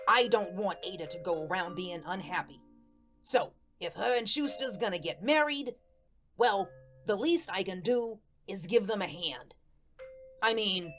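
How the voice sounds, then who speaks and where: Woman acting wistful male ghost, folksy rambling radio drama narrator, unhurried delivery, music underneath. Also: medium pitch, fairly bright tone. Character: wistful, lovesick, plain-spoken little male ghost.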